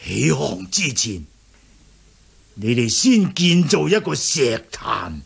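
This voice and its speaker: An actor playing a pitiful, starving old male seer in a radio drama, pleading and solemn in a gravelly, husky voice. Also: mid-range voice, very animated, slow pace, full character voice. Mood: grateful, solemn.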